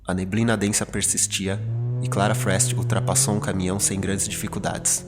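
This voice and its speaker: Horror podcast narrator: Brazilian man, low register, dramatic scripted storytelling over prominent music. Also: fairly even intonation, normal speed.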